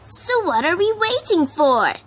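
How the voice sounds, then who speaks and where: A woman's voice: very high voice, big pitch swings, unhurried, a bit bright, voice pushed up, full character voice. A woman voicing an impatient, cocky female pegasus pony in a dramatic story reading. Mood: impatient, eager.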